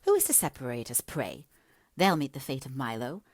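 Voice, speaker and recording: A woman voicing a passionate, headstrong young gentlewoman in a dramatic, emphatic audiobook reading, breathy at times. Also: low voice, expressive, normal speed, dry recording, studio quality. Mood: indignant, defiant.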